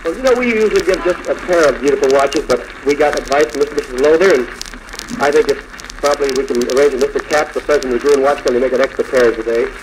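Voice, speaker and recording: A man's voice: medium pitch, racing, a little hiss or hum, poor audio. A man hosting a 1940s radio show in the announcing style of the era, on an old recording.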